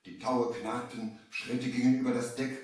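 A man's voice: medium pitch, steady tone, normal speed, natural voice. An actor playing a grave traveler who tells of his own ordeal, solemn and theatrical, in a radio play. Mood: tense, grave. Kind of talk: dramatic storytelling in a radio play. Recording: echoey distant old vinyl record.

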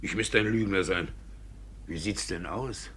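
An actor playing a clumsy, evasive old village judge in a 1950s German radio play, grumbling and exclaiming in a halting, theatrical way. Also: male voice, low-pitched, a bit bright, full character voice. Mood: indignant, evasive. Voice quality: gravelly, husky. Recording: vintage 1950s radio recording.

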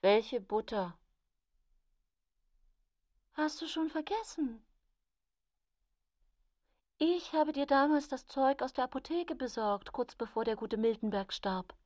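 An actress playing a woman in a sombre period family radio drama, speaking deliberately. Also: high-pitched, animated, a little mellow, dry recording, lo-fi sound. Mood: guarded. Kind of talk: radio play dialogue.

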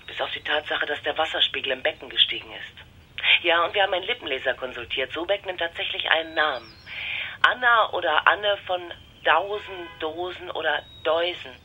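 An actor playing an eager, matter-of-fact police colleague in a German radio crime drama, with a businesslike delivery. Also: male voice, fairly high pitch, expressive, fairly quick, sharp tone. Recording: clear background noise.

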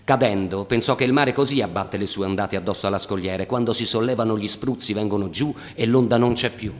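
A man's voice: lowish voice, quick pace. A man performer giving a dramatic literary reading in the style of radio theatre. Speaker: stage performer. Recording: long reverb on the voice.

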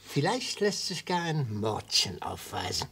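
An actor playing a wily, gleeful retired prosecutor in a German radio play, an older man with a husky voice and a florid, probing way of talking that drops into whispers. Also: on the high side, very animated, unhurried, bright, forceful tone, slight background noise, full character voice. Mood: gleeful, sly.

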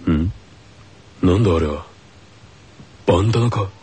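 An actor playing a serious, easily flustered teenage boy athlete in an anime radio comedy, with a growly rasp and terse muttering that jumps into exclamations. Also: sits low, normal speed, warm tone. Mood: gruff, puzzled.

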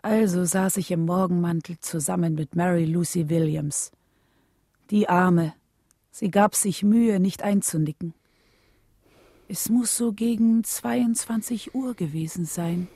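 An actress playing a composed young wife and mother, narrating a radio drama in a measured, sober way. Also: lowish voice, dark tone, husky, no room sound, studio quality.

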